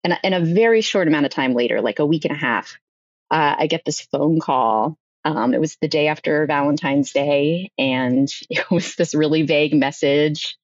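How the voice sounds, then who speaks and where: Low-voiced woman telling a personal story. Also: normal speed, no room sound, muffled recording. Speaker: podcast guest. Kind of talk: personal storytelling in a conversational interview.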